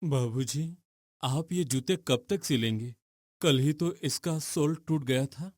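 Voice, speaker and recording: A man's voice: medium pitch, slow, darkish tone, dry recording, clean studio sound, lightly acted. An actor playing a dejected, earnest poor young man in a cartoon story, downcast and hesitant. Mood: concerned.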